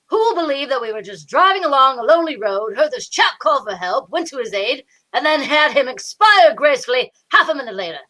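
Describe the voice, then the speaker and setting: A woman's voice: high voice, animated, brisk, hardly any pauses, bright, forceful tone, exaggerated voice, rough recording. A woman playing a fussy, droll, superstitious male sidekick in a radio mystery, arch and theatrical. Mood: droll, dismayed. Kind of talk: old-time radio comedy-mystery acting.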